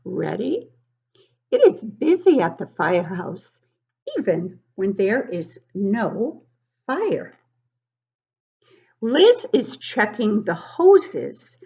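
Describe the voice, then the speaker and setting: Through slightly muffled, lo-fi sound, a woman reads a picture book to young children at an unhurried pace. She sounds like an encouraging teacher, giving the text clear emphasis and chatting directly to her little listeners with questions and asides. Her pitch sits in an ordinary range for a woman. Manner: expressive, emphatic when reading. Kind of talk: read-aloud storybook narration with questions to children. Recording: dull, lo-fi sound.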